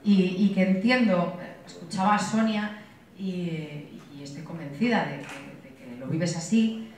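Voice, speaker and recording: A middle-aged woman from Galicia speaking earnestly on a discussion panel, measured and full of restarts. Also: lowish voice, a little mellow. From Galicia, Spain. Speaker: panel speaker, opposition spokesperson. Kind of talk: panel debate remarks. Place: conference hall. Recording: echoey hall, distant mic.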